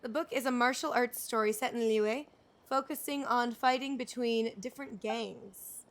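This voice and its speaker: A woman's voice: a little high, moderate pace. A woman live-streaming a game, switching between casual commentary and reading text aloud. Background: game music.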